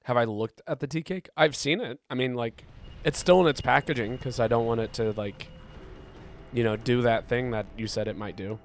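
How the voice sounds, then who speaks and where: A man streaming a game, chatting with his viewers and answering their messages. He is sick and congested, and his voice is hoarse, gravelly and deep. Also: normal speed, slight background noise. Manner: rambling, reads chat aloud and answers it. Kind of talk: casual live-stream chat while gaming.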